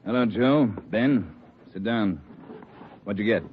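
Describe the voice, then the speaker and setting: A low-voiced police chief talks bluntly and steadily, pressing his men. It is vintage radio drama acting, tinny and phone-like with faint noise. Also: takes it slowly. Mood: steady. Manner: blunt, directive. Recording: tinny, slightly noisy vintage radio recording.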